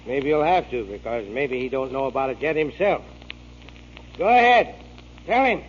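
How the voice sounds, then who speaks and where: An actor playing a sly, menacing crook who needles and insinuates in 1940s radio drama style. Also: male voice, mid-range voice, lively intonation, normal speed, natural voice. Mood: sly, threatening. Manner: needling, insinuating tough talk. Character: menacing, sly dockside crook, a blackmailing man. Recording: muffled, noisy 1940s radio broadcast.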